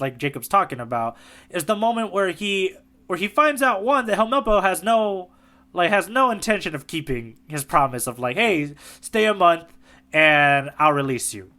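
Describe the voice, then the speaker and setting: A man co-hosting a casual podcast chat, animated and quick to laugh, with lots of fillers. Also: high-pitched. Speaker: podcast co-host, tour guide.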